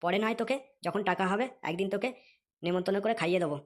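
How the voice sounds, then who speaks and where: A woman's voice: mid-range voice, fast talker, close to an everyday voice. A woman voicing a stingy young man in a comedy cartoon who frets and grumbles with lots of swearing. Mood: pleading. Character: stingy young man, fretful and short-tempered. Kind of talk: Bengali comedy cartoon dialogue.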